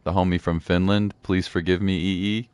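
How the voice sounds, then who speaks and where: A man's voice: low voice, little pitch movement, moderate pace, a little mellow, clean tone, no echo. A man streaming a game, chatting casually in a slangy, jokey, rambling way. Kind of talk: casual livestream chat over gameplay. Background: game music.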